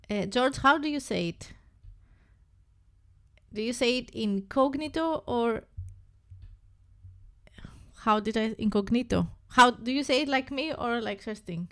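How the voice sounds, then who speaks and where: A young woman with a Greek accent, hosting a relaxed live stream and chatting playfully with viewers while she works on a website. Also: on the high side, measured pace, pauses often. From Greece. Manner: chatty and playful, talks to chat viewers by name, thinks aloud while working. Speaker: livestream host.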